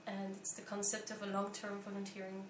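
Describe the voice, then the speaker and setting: Young woman, echoey room, measured. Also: medium pitch, monotone, far from the mic. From Belgium. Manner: thoughtful, measured, with frequent pauses and fillers. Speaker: interviewee, volunteer. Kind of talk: explanatory interview answers. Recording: echoey room, faint background noise.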